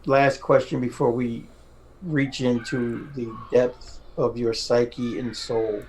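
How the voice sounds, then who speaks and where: A man with a medium-pitched voice co-hosts a podcast interview. He keeps it casual, with a playful, slightly theatrical flair to his questions. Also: flat delivery, slow, a little mellow, a little hiss or hum, poor audio.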